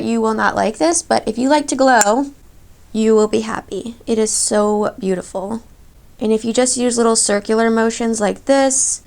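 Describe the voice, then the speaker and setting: A woman's voice: fairly high pitch, gravelly. A woman in her thirties, a beauty vlogger, chatting through a makeup tutorial in a gushing, chatty way, a little congested from a cold. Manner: gushing, chatty product reviews. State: slightly congested.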